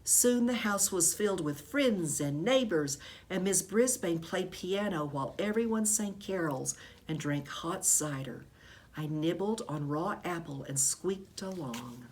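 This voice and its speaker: A woman reading a children's book aloud in expressive storybook narration, like a teacher. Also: lowish voice, unhurried, husky.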